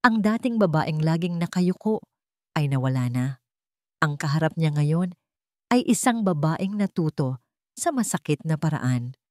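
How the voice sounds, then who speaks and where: Lowish female narrator of dramatic stories. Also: lively intonation, measured pace, pauses often, dark tone, no echo, clean studio sound. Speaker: story narrator. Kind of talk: scripted dramatic story narration.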